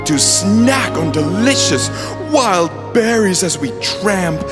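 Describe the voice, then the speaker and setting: An exuberant, boastful father bear acted out by a man holds forth in a children's audio play. He declaims slowly and grandly, with big swoops in pitch and emphatic stresses. Music plays clearly behind him. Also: expressive. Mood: dreamy, enthusiastic.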